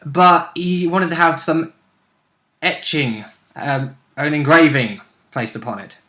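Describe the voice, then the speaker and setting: Higher-pitched, bright-voiced man chatting. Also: takes it slowly. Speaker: co-host of a tabletop role-play recap show. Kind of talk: casual chat with swearing and joking.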